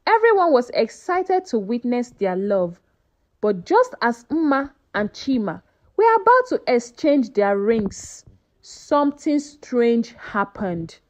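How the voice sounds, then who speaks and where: A woman narrating a folktale as a scripted story, with an expressive, dramatic delivery. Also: a little high, takes it slowly.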